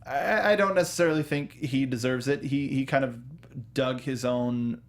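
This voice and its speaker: Man, medium pitch, talk show host, casual review chat, halting, jokey. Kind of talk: casual film review discussion.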